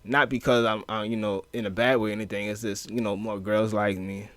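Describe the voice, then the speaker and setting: Profane, animated man in casual chat. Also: lowish voice, brisk. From US inner city, moved to Louisiana. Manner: loose, profane, lots of fillers, animated retelling. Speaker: podcast guest.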